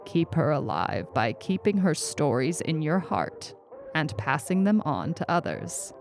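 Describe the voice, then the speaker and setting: A woman's voice: sits low, sing-song, unhurried, vocal fry, soft background music, exaggerated voice. A woman voicing a grumpy, weary old male author in a story, acerbic yet grandfatherly and pleading. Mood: gentle, grateful.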